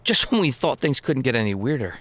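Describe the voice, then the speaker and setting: An actor playing an anxious, decent man caught up in a small-town conspiracy, with a husky voice, in naturalistic audio drama style. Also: mid-range voice, big pitch swings, fairly quick, a bit bright. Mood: incredulous.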